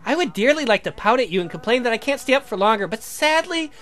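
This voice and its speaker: An eager, girlish young woman from a game, played by a man, teasing and excitable. The pitch is raised very high and leaps around brightly. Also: fast talker, exaggerated voice. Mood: delighted, teasing.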